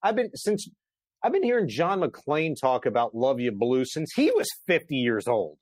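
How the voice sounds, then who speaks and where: A man's voice: medium pitch, very animated, normal speed, a little mellow, no room sound, studio quality. A man in his forties co-hosting a sports show, chatting in an emphatic, colloquial, ranting way. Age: 40s. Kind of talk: excited sports talk chat.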